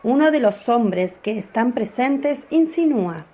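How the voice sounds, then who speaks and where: A woman narrating a radio drama in a measured, clear storytelling voice. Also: a little high, lively intonation, mellow.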